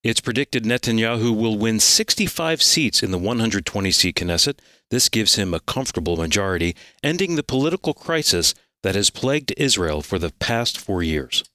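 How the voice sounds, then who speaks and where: A man's voice: lowish voice, animated, moderate pace, hardly any pauses, sharp tone, no echo, studio quality. A man announcing a radio program, reading scripted introductions and news as co-host.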